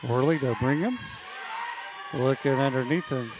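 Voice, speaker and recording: A man calling a women's college basketball game play-by-play, losing his voice and clearing his throat now and then. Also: mid-range voice, noisy background. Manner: steady, measured calls that rise on big plays. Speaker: play-by-play announcer.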